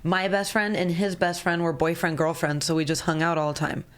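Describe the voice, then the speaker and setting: A young woman in casual conversation, teasing and playful, speaking in a relaxed slangy way. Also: fairly low pitch, fairly quick, clear, resonant tone. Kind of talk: casual chat.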